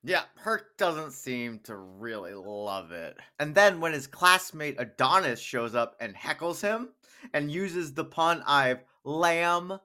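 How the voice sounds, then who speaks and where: A man's voice: fairly high pitch, lively intonation, slow pace, pushed and bright. A man co-hosting a podcast, recapping a story in a wry way with theatrical emphasis on the punchlines. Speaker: podcast co-host. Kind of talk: animated episode recap with co-host.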